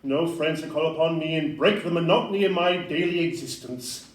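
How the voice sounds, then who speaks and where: A man's voice: fairly high pitch, fairly quick, natural voice. A man acting a frail, courteous Victorian doctor with formal, wordy phrasing in a live audio play. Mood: courteous, weary. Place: live performance in a club room. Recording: distant roomy live stage recording.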